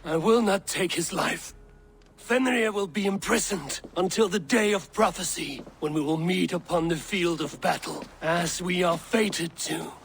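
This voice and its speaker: A proud Norse god from a video game, grimly determined and grave. His voice sits a little high for a man, and his phrasing is formal and measured. Faint ambient noise lies behind him. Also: vocal fry, fairly bright tone, exaggerated voice. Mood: grim resolve. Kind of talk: video game cutscene dramatic acting.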